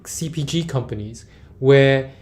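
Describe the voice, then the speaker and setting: A man's voice: mid-range voice, unhurried, darkish tone. A man from Singapore interviewing a guest, thinking aloud as he frames long questions. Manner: rambling, thinks aloud while asking.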